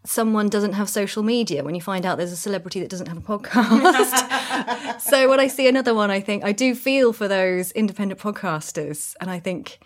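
Chatty British woman, mid-pitched voice. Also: fairly quick. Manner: chatty, tags statements with questions. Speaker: podcast co-presenter. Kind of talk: casual discussion of podcasting.

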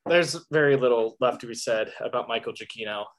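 A man's voice: mid-range voice, a little fast. A man with a mid-range voice gives his opinions on a film in a loose, easygoing way. He circles back on his own points and thinks aloud as he talks. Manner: rambling, lots of fillers and restarts, thinks aloud. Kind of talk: casual film review chat.

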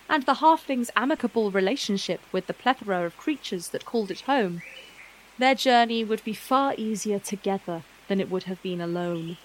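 A woman narrator reading a scripted fantasy tale in a measured, literary, wryly understated manner. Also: medium pitch, a bit bright, a little hiss or hum, rough recording.

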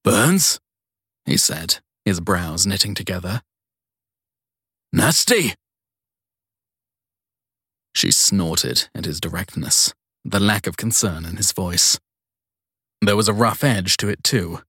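A man with a fairly deep, husky voice narrates crime fiction as an audiobook. The sound is close and dry, with no room around it. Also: measured pace, bright, forceful tone, no echo.

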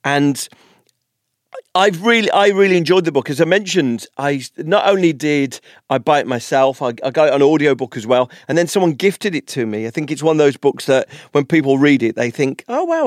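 A man's voice: on the high side, dry recording, clean studio sound. A man hosting a podcast, introducing and interviewing a guest in an enthusiastic way with occasional repeats. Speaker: podcast host.

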